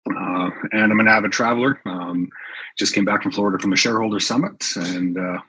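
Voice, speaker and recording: A man from Canada with a husky voice, describing his work in a rambling, filler-heavy way. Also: on the low side, steady tone, a little fast, sharp tone, poor audio. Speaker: panelist, realtor. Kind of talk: conversational panel talk.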